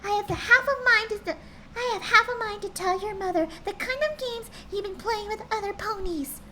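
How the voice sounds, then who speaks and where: A woman voicing a gentle female pony who scolds like a stern mother. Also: very high-pitched, a little fast, a crowd in the background, voice pushed up. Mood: scolding.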